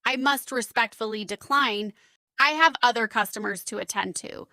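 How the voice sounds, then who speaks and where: A woman playing a blunt, proud older sister who runs a diner, firm and formal, in an animated story. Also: fairly high pitch, moderate pace, no room sound. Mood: firm, indignant. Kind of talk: animated story character acting.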